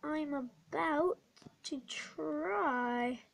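A young girl with a bad cold and a croaky voice, chatting through a craft tutorial vlog in a small bedroom, rambling with many ums. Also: sits high, very slow. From not American. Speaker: craft vlogger. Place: small dim bedroom indoors.

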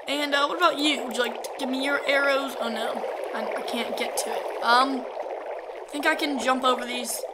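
A woman talking casually while playing a game, chatty and easily excited, with a sore throat that makes her voice crack. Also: high voice, heavy background noise. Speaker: gamer. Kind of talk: casual gameplay commentary.

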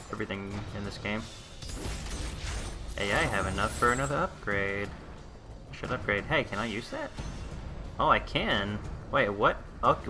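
A man playing through a game and commenting on it, exasperated and swearing at enemies, talking to them as he fights. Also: on the low side. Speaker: let's play commentator. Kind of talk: gameplay commentary. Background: game music and sound effects.

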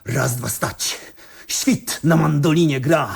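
Radio play casting: an absent-minded, theatrical poet, raised pitch, unhurried drawn-out pace, rambling and dreamy. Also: male voice, creaky, husky, heavily acted. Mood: declaiming. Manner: theatrical, rambling, head in the clouds. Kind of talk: radio drama acting.

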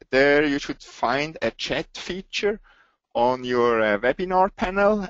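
Man with a Swiss accent presenting a software webinar, mid-pitch, halting delivery, dry and slightly dull sound. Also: no room sound. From Switzerland. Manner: halting, with frequent "uh" fillers.

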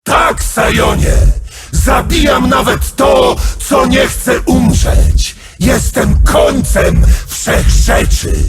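Roaring demonic blade warrior. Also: male voice, very high-pitched, heavily acted. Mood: taunting. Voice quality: shouting, taunting, theatrical. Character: bloodthirsty ancient male blade demon warrior. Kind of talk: video game character voice lines.

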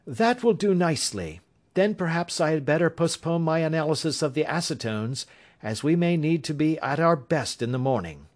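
A man's voice: fairly high pitch, animated, moderate pace, voice pushed up, lightly acted. A man reading aloud, voicing a keen, grave and impatient male detective in a storybook reading. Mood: brisk. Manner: crisp, grave, impatient outbursts. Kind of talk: audiobook character reading.

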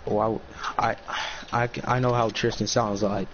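A man's voice: lowish voice, measured pace, lo-fi sound, slight background noise. A teenage boy playing a shooter with a friend, bickering and calling out plays in casual banter. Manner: bickering, excitable. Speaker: fellow player.

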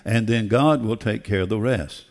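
Older male preacher, fairly deep voice, emphatic and deliberate delivery from a church stage. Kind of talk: sermon. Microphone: headset.

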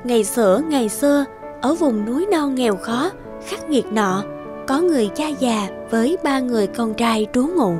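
A woman narrates a fairy tale in a scripted storybook style. Her voice is fairly high, and music plays clearly behind her. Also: expressive, moderate pace.

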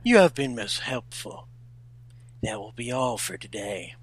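A man's voice: mid-range voice, expressive, unhurried, slightly rough. A man voicing a cool, commanding hedgehog prince with a dry, curt delivery in an amateur fan fiction reading.

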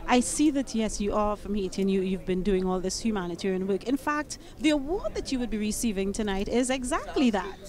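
A woman's voice: medium pitch, animated, a little fast, few pauses, darkish tone. A young woman hosting a red carpet interview, playful and gushing, speaking into a handheld mic outdoors. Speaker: entertainment interviewer. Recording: outdoor event with crowd noise. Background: crowd chatter.

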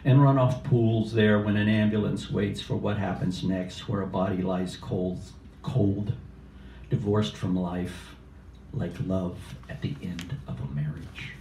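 A man in his sixties reading poetry live at an open mic in a measured, deliberate way, with casual asides. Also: fairly low pitch, steady tone, mellow. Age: 60s. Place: small indoor cafe open mic. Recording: roomy, distant cafe live stream with noise.

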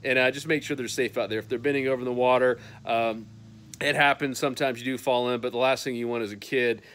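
A man's voice: medium pitch, steady tone, fast talker, fairly bright tone, a little hiss or hum. A man in his thirties hosting a fishing newsletter roundup, talking casually and upbeat to a phone camera on an outdoor patio, with many "uh" fillers. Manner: upbeat and chatty, lots of "uh" fillers.

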